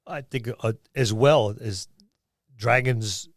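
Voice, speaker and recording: A man co-hosting a podcast, talking informally and haltingly as he thinks aloud about tabletop gaming. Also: medium pitch, no room sound, studio quality. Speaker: podcast co-host. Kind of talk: casual tabletop gaming discussion.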